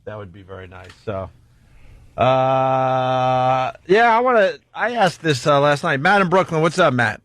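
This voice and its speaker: A man's voice: mid-range voice, expressive, measured pace, a bit bright, no echo. A man hosting a radio talk show, chatting casually and fielding callers and topics.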